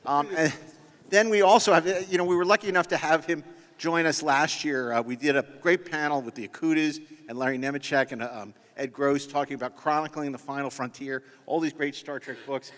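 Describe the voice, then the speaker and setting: A man's voice: a little high, a little fast, fairly bright tone. A man moderating a panel live on stage, conversational and rambling with joking asides. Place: convention stage before an audience. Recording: echoey hall, stage sound system.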